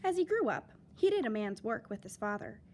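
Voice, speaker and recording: Expressive woman reading a picture book. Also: fairly high pitch, brisk, a little hiss or hum. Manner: expressive, lively storytelling with dramatic emphasis. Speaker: teacher reading aloud. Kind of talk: children's picture book read-aloud.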